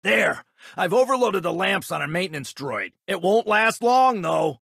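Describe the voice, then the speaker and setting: An actor playing a blunt, casual technician in a video game, with an offhand, colloquial way of talking. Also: male voice, high-pitched, lively intonation, moderate pace, gravelly, fairly bright tone, husky, dry recording, clean studio sound, exaggerated voice. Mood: hurried. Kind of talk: dramatic video game dialogue.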